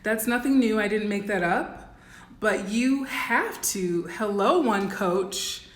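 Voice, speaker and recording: A woman with a raspy voice giving blunt, instructive coaching advice in a casual livestream talk, full of "um" and "like". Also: mid-range voice, lively intonation, slow, roomy sound. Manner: blunt, instructive, many "um" and "like" fillers. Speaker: dating and life coach.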